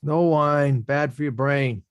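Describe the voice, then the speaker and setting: A man's voice: on the high side, slow pace, smooth, no room sound, clean studio sound. An actor in a table read playing a plainspoken working-class boxer, protective and streetwise in his slangy talk. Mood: firm, dismissive. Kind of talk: screenplay table read acting.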